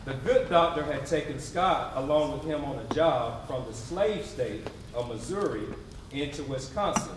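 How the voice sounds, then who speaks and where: A man narrating a scripted readers theatre piece in a deliberate, emphatic storytelling way. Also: mid-range voice, background noise. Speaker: stage narrator. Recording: very echoey room, distant mic, responsive audience.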